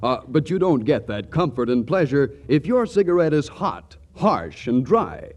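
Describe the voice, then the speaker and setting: A man working as a radio announcer, delivering a polished, genial 1940s sponsor pitch. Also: big pitch swings, moderate pace, darkish tone, dull audio. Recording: vintage radio broadcast with music.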